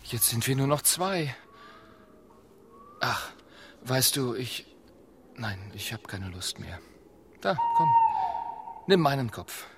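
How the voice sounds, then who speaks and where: An actor playing an impatient, unsentimental killer in an absurdist radio play, with a clipped, commanding way of talking. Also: male voice, medium pitch, animated, slow, long pauses, background noise. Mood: grim, matter-of-fact. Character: impatient male head-hunting killer, bossy and unsentimental.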